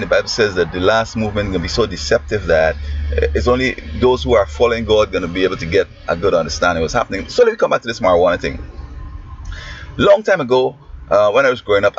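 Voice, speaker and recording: A man's voice: on the low side, animated, quick pace. A man from Guyana with a Guyanese accent, a schoolteacher giving rambling, preachy commentary that often trails off mid-sentence. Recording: muffled lo-fi with faint hiss.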